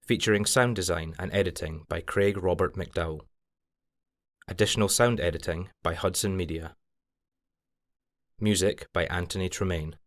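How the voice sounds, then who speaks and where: A man with a low-pitched voice serves as announcer, reading scripted credits. He goes through them in a formal, list-like read. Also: normal speed, pauses often.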